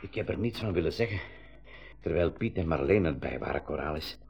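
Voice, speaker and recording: A man playing a concerned older uncle leading an expedition in a 1980s radio drama, with a husky voice. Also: extremely low pitch, lively intonation, fairly quick, darkish tone, exaggerated voice. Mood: worried.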